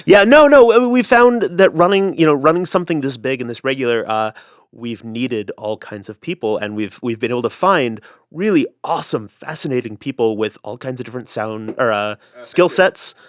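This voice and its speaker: Male audio drama creator, medium pitch, joking conversational delivery, dry sound. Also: moderate pace, dry recording. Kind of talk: casual group interview.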